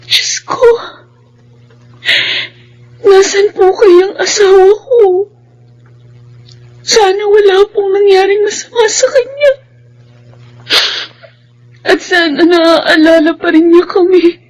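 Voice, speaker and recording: A woman's voice: extremely high pitch, takes it slowly, pauses often, a little mellow, rough recording. A woman playing a devoted, anguished, prayerful wife in a Filipino radio drama, with a pleading, emotional delivery. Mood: tearful, prayerful.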